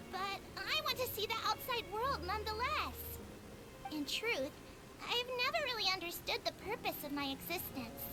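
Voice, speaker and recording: Tiny earnest female creature; very high, singsong, eager. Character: small gentle female Melusine creature. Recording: loud game music behind.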